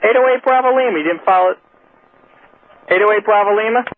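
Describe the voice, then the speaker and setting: A man's voice: high-pitched, fast, phone-quality sound. A man working as an air traffic controller, questioning and scolding pilots in exasperation over aviation radio.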